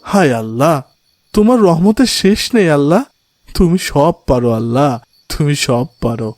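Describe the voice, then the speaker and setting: A man's voice: a little high, lively intonation, normal speed, a little mellow, no echo, studio quality, natural voice. A man playing an emotional, devout village father in a melodramatic cartoon, speaking in a pleading, emotive way. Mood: grateful, awed. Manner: pleading, emotive, often invoking God. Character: anguished, devout village father of a motherless daughter.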